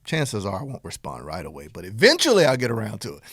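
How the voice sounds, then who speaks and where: A man with a Southern American accent, an energetic and folksy business coach talking straight to his viewers. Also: fairly low pitch, fast talker, slightly rough, dry recording, clean studio sound. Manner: energetic, animated, folksy with jokes and laughs. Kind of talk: direct-to-camera teaching talk.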